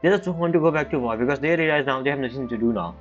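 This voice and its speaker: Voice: mid-range voice, racing, muffled recording. A game streamer giving casual, blunt, scoffing commentary in informal, loosely grammatical speech. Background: game music.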